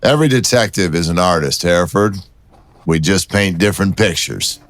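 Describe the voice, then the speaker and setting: A man's voice: low voice, animated, moderate pace, no room sound. A man playing a cool, teasing police detective in an audio drama, with a wry, bantering manner. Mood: intent, wry.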